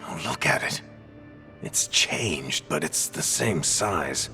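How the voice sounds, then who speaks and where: A man playing a world-weary, gruff middle-aged adventurer and storyteller in a cinematic fantasy game, informal yet dramatic. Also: low-pitched, animated, takes it slowly, pushed and bright, heavily acted. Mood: troubled. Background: music.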